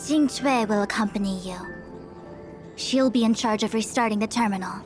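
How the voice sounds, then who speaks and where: An actress playing a brisk, authoritative young female official in a video game, giving formal instructions. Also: mid-range voice, over music, lightly acted. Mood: businesslike. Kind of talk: scripted video game dialogue.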